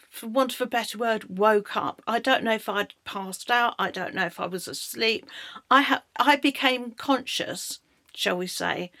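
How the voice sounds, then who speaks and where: Croaky middle-aged British woman. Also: a little high, fairly bright tone, no echo, clean studio sound. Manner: halting, searching for words. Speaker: narrator of her own life story. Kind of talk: personal storytelling monologue.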